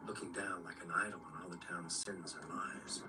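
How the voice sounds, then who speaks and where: An actor playing a sombre first-person narrator in a horror radio drama, measured and brooding, on a distant recording. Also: male voice, sits low, steady tone, very noisy, close to an everyday voice. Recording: distant replay over loud music.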